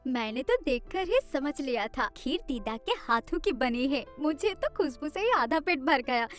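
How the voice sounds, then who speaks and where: A woman's voice: sits high, big pitch swings, moderate pace, faint music behind. A woman voicing a curious, bold and skeptical young woman in a cartoon story, with an eager, exclamatory manner. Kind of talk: Hindi cartoon story voice acting.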